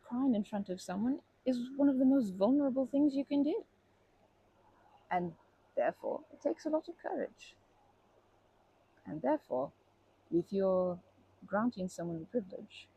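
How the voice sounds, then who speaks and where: A woman playing a kindly, protective grandma in an ASMR comfort roleplay, speaking gently and hesitantly with fond pet names. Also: mid-range voice, heavily acted, poor audio. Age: older. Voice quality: creaky, husky.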